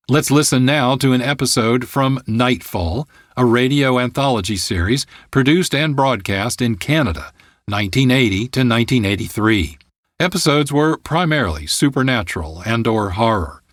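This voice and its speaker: A male radio host reads scripted introductions in a dry, close sound. His pitch is mid-range and his delivery measured and articulate. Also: lively intonation, dry recording. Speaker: producer and host.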